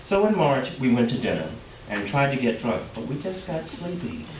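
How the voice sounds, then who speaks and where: Man as defensive middle-aged husband, animated delivery. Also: mid-range voice, lightly acted. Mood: wry, matter-of-fact. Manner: matter-of-fact, defensive, halting when flustered. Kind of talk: readers theater stage comedy. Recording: roomy, distant, noisy, dull stage recording.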